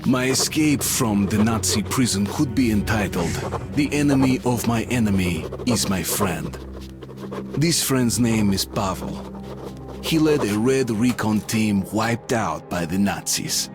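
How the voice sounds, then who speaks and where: An actor playing a serious soldier who narrates his mission in measured, reflective tones in a video game. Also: fairly low pitch, expressive, over music. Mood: reflective, sombre. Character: sombre soldier hero recounting his mission.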